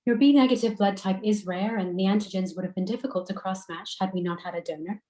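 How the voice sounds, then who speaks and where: A woman's voice: mid-range voice, quick pace, warm tone, close to an everyday voice, poor audio. A woman playing an attentive, motherly hospital worker in a screenplay table read. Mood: courteous, admiring. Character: motherly, attentive medical woman, phlebotomist or doctor.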